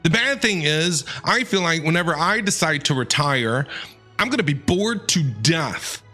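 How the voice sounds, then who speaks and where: A male streamer reacting casually to a game, his pitch jumping around a lot. He jokes, repeats himself and answers characters back, with faint music behind him. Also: expressive, a little slow, sharp tone. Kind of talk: casual live game commentary.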